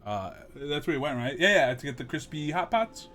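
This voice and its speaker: A young man co-hosting a gaming stream, chatting casually, loud and excitable, swearing often. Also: a little high, a little fast, slight background noise. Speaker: co-host.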